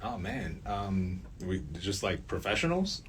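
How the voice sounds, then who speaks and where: A young man giving a casual interview as a writer, rambling and slangy, telling things in an animated way. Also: sits low, unhurried, slight background noise. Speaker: radio play writer being interviewed. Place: student radio studio.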